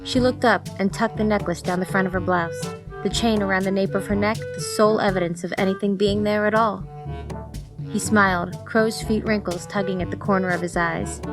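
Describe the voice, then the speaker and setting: Lady doing expressive audiobook narration, clear music playing under her. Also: medium pitch, a little fast. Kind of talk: scripted story narration.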